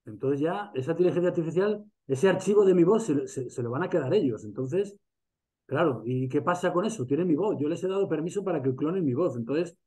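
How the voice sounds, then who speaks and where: A man's voice: on the high side, a little mellow. A man with a Spanish accent from Spain, talking casually on a video call, with many restarts and fillers. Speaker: masterclass host.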